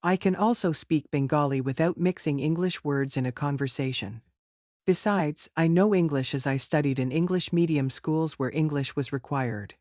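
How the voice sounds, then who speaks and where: A man's voice: a little high, darkish tone, no room sound, clean studio sound. A man answering mock speaking-test questions in a formal, rehearsed way. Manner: formal, rehearsed, measured delivery. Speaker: test candidate.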